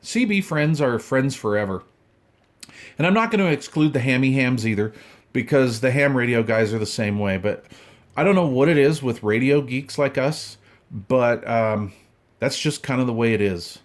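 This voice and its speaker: A man's voice: mid-range voice, expressive, moderate pace. Folksy middle-aged man chatting casually. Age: 50s. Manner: folksy, rambling, informal slang. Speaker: radio hobbyist vlogger. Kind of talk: casual unboxing chat.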